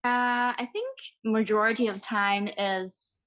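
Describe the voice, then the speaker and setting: A woman's voice: a little high, fairly even intonation, slow pace, fairly bright tone. A woman and new mother chatting relaxedly as a podcast guest. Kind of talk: casual podcast chat.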